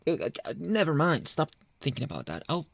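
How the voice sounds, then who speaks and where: Guy doing a clingy controlling boyfriend asmr, pleading n half whispery, lots of fry, super close dry sound. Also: fairly high pitch, sing-song, no echo, heavily acted. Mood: conceding, evasive. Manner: halting, pleading, breathy drops into whispers. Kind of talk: intimate ASMR boyfriend roleplay. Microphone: close, dry microphone.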